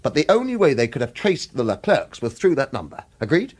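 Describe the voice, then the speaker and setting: Husky, wily hired gun. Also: male voice, mid-range voice, huge pitch swings, a little fast, a little mellow. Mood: scheming, persuasive. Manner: wry, informal, urgent persuasion. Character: world-weary, wily hired killer in a tight spot. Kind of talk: radio thriller acting.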